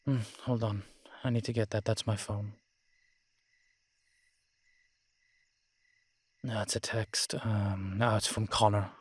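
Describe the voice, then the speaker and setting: A man's voice: fairly low pitch, little pitch movement, normal speed, slightly rough, dry recording. A man playing an ancient, proud and world-weary male vampire in an audio roleplay, talking in a wry, haughty way with old-fashioned fancy words. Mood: musing, distracted. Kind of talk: audio roleplay drama, one-sided dialogue.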